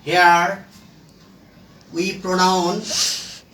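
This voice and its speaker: Slow, high-voiced man teaching in echoey room. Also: flat delivery, sharp tone, slight background noise. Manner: halting, emphatic, word-by-word phrasing. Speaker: English grammar teacher. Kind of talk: instructional grammar lesson. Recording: echoey room, distant microphone.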